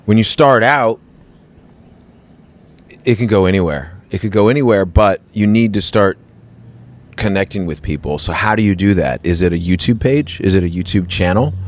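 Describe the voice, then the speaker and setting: A man hosting his own podcast, talking in a loose, rambling, self-mocking way. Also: sits low. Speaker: podcast host. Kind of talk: casual podcast chat.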